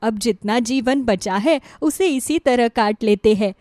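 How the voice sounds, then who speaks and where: A woman's voice: on the high side, unhurried, a little mellow, dry recording, studio quality, natural voice. A woman voicing a meek, wronged elderly mother-in-law in a cartoon story, plaintive and resigned. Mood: sad, resigned. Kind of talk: Hindi cartoon moral-story dialogue.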